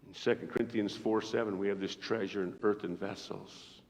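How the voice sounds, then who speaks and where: A man in his fifties from Iowa, preaching a sermon with animated storytelling that swings between loud outbursts and quiet asides. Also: on the low side, a little fast. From Iowa, United States. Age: 50s. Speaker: evangelist preacher. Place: church sanctuary. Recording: echoey church hall, dull lo-fi live recording.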